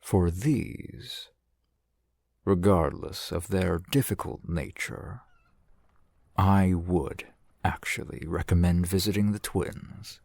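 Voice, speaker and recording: A man's voice: low voice, slow pace, creaky, a little mellow, no echo, full character voice. A man playing a courteous, shrewd fantasy shopkeeper in an ASMR roleplay, with formal, eloquent merchant patter. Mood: gracious, measured. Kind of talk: ASMR fantasy roleplay, softly acted one-sided dialogue.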